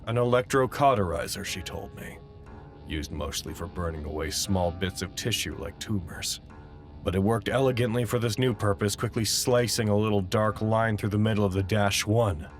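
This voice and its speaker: An actor playing a fussy, self-important documentarian narrating a measured first-person log in an audio drama. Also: male voice, on the low side, faint music behind, natural voice. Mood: uneasy, reflective.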